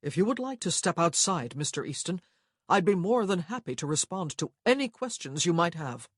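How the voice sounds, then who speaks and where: A woman voicing a proud, unapologetic gentleman of thirty in a period romance audiobook, formal and defiant. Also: fairly low pitch, sing-song, normal speed, breathy edge, no echo, studio quality. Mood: challenging.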